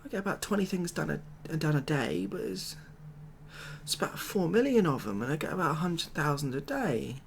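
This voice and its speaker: A British man speaks in a gravelly, creaky voice, with faint noise behind him. He rambles hesitantly and deadpan, soft-spoken in ASMR comedy style, as a glum former builder who is out of his depth. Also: on the high side, brisk. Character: glum, overwhelmed ex-builder made president of the world. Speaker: ASMR roleplay performer.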